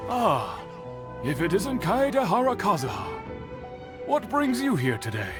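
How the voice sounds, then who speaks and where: An actor playing an older, patient master swordsmith in a game, speaking in a measured, reflective and dignified way. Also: male voice, sits high, huge pitch swings, exaggerated voice. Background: game music.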